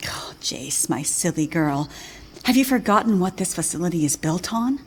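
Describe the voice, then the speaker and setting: An actress playing a grave older female scientist in a video game cutscene, speaking in a measured, solemn way. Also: on the low side, animated, slight background noise, natural voice. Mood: knowing.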